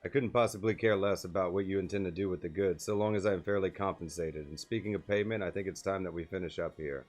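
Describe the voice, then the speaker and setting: A man's voice: low-pitched, steady tone, quick pace, hardly any pauses, darkish tone. A man voicing a haughty, amused mercenary swordsman from a video game, pompous and sneering. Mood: cold, indifferent.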